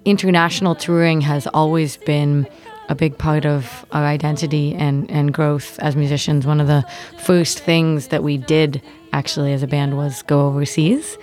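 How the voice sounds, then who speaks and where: A woman's voice: low-pitched, darkish tone. A woman with a North American accent, a touring musician reflecting on her experiences in a personal storytelling style. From Ontario, Canada. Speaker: touring violinist and songwriter. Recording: music bed under the voice.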